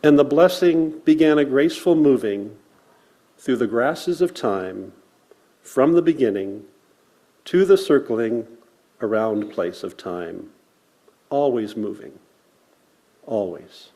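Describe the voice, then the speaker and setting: A man speaking at a worship service, reciting in a solemn, measured way with deliberate pauses. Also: mid-range voice, animated, warm tone. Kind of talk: land acknowledgement and poetry recitation to a congregation.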